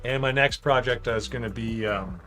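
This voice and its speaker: A man of ordinary pitch hosts a relaxed livestream, rambling and thinking aloud while he builds. He reads out chat, cracks jokes and drifts between topics. Soft music plays quietly behind him. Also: moderate pace. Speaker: livestream host building a model.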